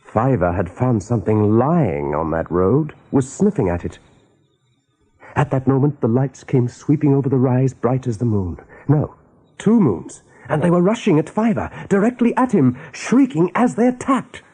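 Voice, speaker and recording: A man narrating a radio play as a dramatic storyteller, with a husky voice. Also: mid-range voice, sing-song, normal speed, mellow.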